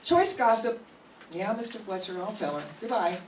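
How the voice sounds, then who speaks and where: A woman's voice: mid-range voice, very noisy, natural voice. An older woman reading the part of a sensible, sarcastic young roommate in a radio sitcom, recorded from far off in a roomy classroom. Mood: wry. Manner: wry, quick with sarcastic comebacks. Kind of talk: 1940s radio sitcom acting.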